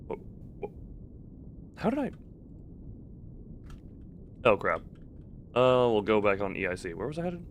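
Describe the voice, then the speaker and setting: A male game streamer talks to himself with wry, casual commentary, his pitch swinging in a lively way. He mutters plans and figures as he works things out. A clear rumbling game ambience sits behind his voice. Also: a little slow, pauses often, a little hiss or hum. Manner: self-talking, wry, mutters numbers and plans. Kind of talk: casual gaming commentary, thinking aloud.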